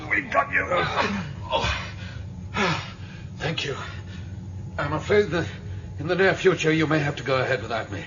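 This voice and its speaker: A man's voice: on the high side, big pitch swings, moderate pace, long pauses, fairly bright tone. A man playing an injured climber in a radio drama, sounding strained by the effort and staying polite. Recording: dull lo-fi audio with clear noise.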